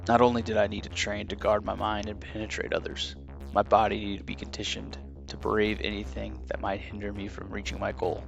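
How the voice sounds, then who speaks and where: A haunted, solemn warrior in an audio drama. His low voice is full of gravel, each phrase set down deliberately, with clear music underneath. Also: completely flat delivery. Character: grave male ex-disciple warrior with tortured past.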